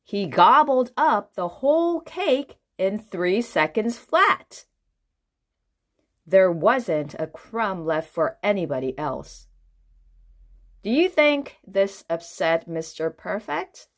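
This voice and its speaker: A woman reading a children's book aloud in an expressive way, putting questions to the listener. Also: medium pitch, takes it slowly. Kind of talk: animated read-aloud storytelling for children.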